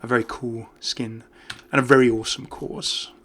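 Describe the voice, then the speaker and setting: A man's voice: mid-range voice, level tone, moderate pace, a bit bright. A man giving casual, off-the-cuff game commentary as a YouTuber, chatting and thinking aloud as he goes. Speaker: gaming YouTuber.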